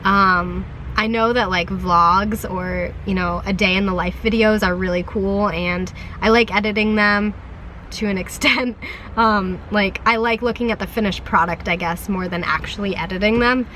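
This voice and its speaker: A young woman in her twenties with a hoarse voice from a flu, rambling heartfelt to camera in a sit-down vlog, full of ums and restarts. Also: medium pitch, steady tone, moderate pace, pushed and bright, a little hiss or hum. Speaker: vlogger. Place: parked van.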